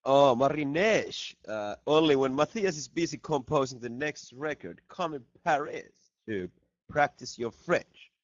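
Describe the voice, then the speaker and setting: A man's voice: medium pitch, animated, a little slow, dry recording. A man, a band member, joking and reading out fan questions in a casual video chat. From Finland. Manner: playful, jokey, reads questions aloud. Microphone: laptop video call mic. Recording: dull lo-fi video call audio.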